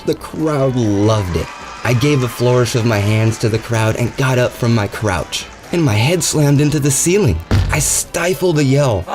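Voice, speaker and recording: A man narrating a first-person horror audio drama, his voice climbing higher and tighter as the dread builds.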